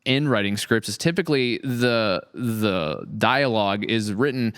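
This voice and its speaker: A man's voice: on the low side, animated, unhurried, fairly bright tone, clear, resonant tone. A man hosting a podcast in casual conversation, talking in an enthusiastic, rambling and appreciative way. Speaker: podcast host, audio drama creator.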